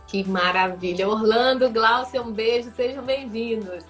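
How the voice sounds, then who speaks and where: A woman's voice: a little high, a little slow, a bit bright, faint music behind. A woman hosting a casual interview, upbeat and reading out viewer comments. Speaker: host.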